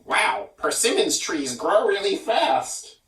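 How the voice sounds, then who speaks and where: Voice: medium pitch, very animated, takes it slowly, a bit bright, distant mic. A person casually commenting on a story in a slangy, laid-back way. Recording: echoey room, away from the mic.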